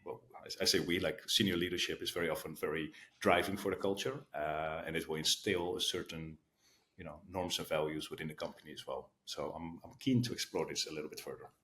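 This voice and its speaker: A middle-aged man from the Netherlands with a Dutch accent tinged with American, answering interview questions in a relaxed way, thinking aloud with fillers. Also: a bass voice, fairly quick, a little hiss or hum. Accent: Dutch with some American. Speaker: guest, sales leader.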